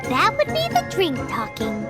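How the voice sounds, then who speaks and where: A woman's voice: high-pitched, wildly swooping pitch, normal speed. A woman voicing a chirpy, cheeky little fairy companion in a video game, bouncy and teasing, over game music. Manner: bouncy, teasing, exclaims often.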